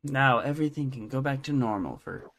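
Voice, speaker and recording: A man's voice: medium pitch, expressive, a little fast, fairly bright tone, a little hiss or hum. A man co-hosting a podcast, chatting casually about entertainment news in a rambling, jokey, self-interrupting way. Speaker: podcast co-host.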